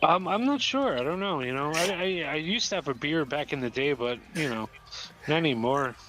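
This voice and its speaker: A man hosting an online drone race, calling out pilots and teams in an unhurried way. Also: fairly high pitch, animated, background noise. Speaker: race director and host.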